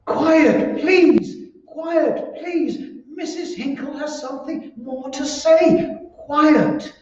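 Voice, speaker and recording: Performer playing a high, flustered, henpecked husband. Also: very slow, a little mellow. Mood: officious. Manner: pleading, stammering, formal. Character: flustered, officious, henpecked husband Mister Hinkle. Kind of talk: old-time radio comedy acting. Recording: noisy echoey room, distant mic, dull sound.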